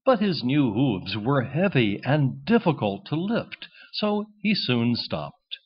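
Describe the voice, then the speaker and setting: Slow, animated male children's story narrator. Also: mid-range voice, breathy edge, dull audio.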